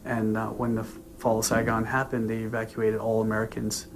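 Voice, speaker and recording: A man in his forties, born in Vietnam, a naval commander giving a news interview in an earnest, halting way with frequent restarts. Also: lowish voice, monotone, slight background noise.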